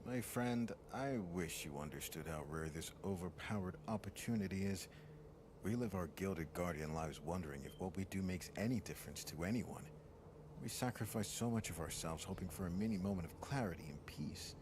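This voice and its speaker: A man's voice: low voice, normal speed, lightly acted. A man acting an earnest, persuasive guardian comrade in an audio drama, with a grandiose manner. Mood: earnest persuasion. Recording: noisy ambient background.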